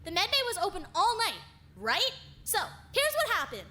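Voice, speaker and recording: A very high-pitched, bright and excitable young woman, swinging widely in tone and stubbornly sure of herself, like a cartoon mystery character. There is a faint noise behind her. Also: normal speed, full character voice. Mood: confident, eager. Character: excitable, stubborn young woman floating a far-fetched murder theory. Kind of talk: animated murder-mystery voice acting.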